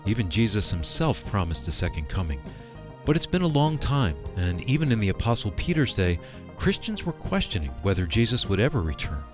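A man's voice: fairly low pitch, big pitch swings, a little fast, music in the background. A man working as a radio host, reading a scripted introduction and news in an announcer's style.

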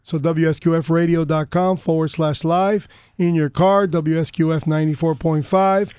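A high-pitched man hosts a casual radio talk show, ribbing his co-host with jokes. His voice sits close and dry in a studio mic. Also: steady tone, moderate pace, a little mellow, clean tone, no echo. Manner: joking, teasing.

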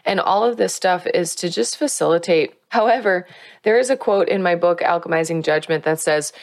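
Woman spiritual teacher with a low voice in a dry room. Earnest and coaching, leans on key words. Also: normal speed, no room sound.

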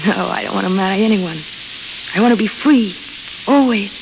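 An actress playing a frank, restless young waitress, eager and wistful, in old radio drama style. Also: female voice, mid-range voice, normal speed, gravelly, natural voice. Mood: dreamy, longing. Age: young adult. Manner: plain-spoken, eager, wistful. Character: young desert waitress, dreamy, frank, restless amateur painter. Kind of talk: 1930s-40s radio drama acting. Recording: hissy, crackly, muffled old radio recording.

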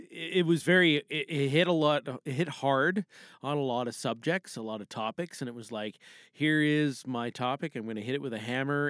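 A man's voice: medium pitch, fairly bright tone, dry recording, studio quality. A man podcasting solo in a casual, rambling, self-deprecating way. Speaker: podcast host.

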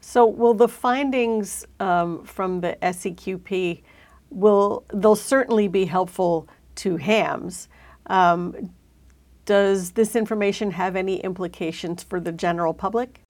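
A woman's voice: mid-range voice, a little slow, a little mellow. A woman from New England hosting an interview, recapping points in a friendly way. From New England, USA. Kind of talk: podcast interview.

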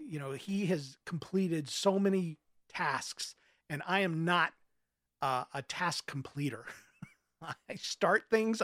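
A man speaking as an author in a casual interview, giving earnest answers in a thoughtful, halting way. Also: sits high, fairly bright tone, dry recording, studio quality.

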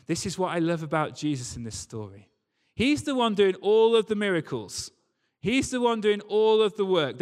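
A man preaching a church sermon in an earnest, emphatic way, repeating key phrases. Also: on the high side. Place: large reverberant church or hall. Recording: long hall reverb.

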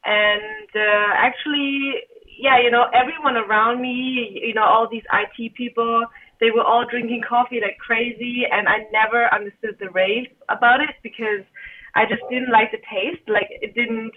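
A woman's voice: fairly high pitch, steady tone, normal speed. A young woman from Germany, chatting as an interview guest and telling her story loosely with plenty of fillers. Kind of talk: conversational interview answers. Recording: tinny phone-line audio.

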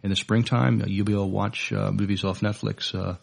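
A man co-hosting a podcast in casual chat, with a halting, hesitant delivery. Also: fairly low pitch, flat delivery, gravelly. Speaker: podcast co-host.

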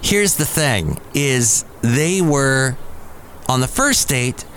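A man's voice: mid-range voice, animated, takes it slowly, a bit bright, a little hiss or hum. Rambling middle-aged male podcast host. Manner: digressive, self-correcting storyteller, chuckles at himself. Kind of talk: casual rambling monologue. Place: café, live podcast. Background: faint café ambience.

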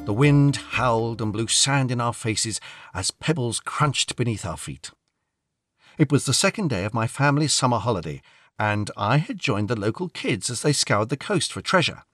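A man's voice: mid-range voice, animated, moderate pace, fairly bright tone, dry recording. A man reading a first-person short story aloud as a dramatic narrator. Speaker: story reader.

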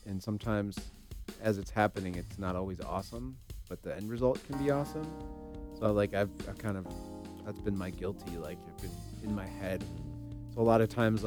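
A man co-hosting a podcast in a casual, informal way, thinking aloud with some hesitation. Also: lowish voice. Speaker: podcast co-host. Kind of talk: casual chat.

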